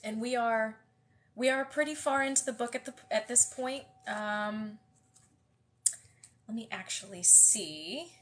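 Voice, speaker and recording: Woman host, somewhat high-pitched, congested and nasal, loose chatty livestream delivery. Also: a little slow, long pauses, fairly bright tone. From US West Coast. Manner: chatty, friendly, many fillers and restarts. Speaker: host of a live book reading. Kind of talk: casual livestream chat greeting viewers.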